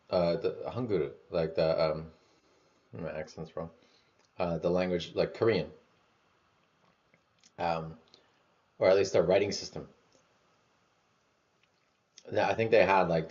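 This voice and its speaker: A man with a very low voice muses out loud in a relaxed, rambling flow, packing his talk with fillers and a casual curse now and then. The sound is dull and lo-fi. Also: normal speed, pauses often. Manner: loose, filler-heavy, swears casually. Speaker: vlogger.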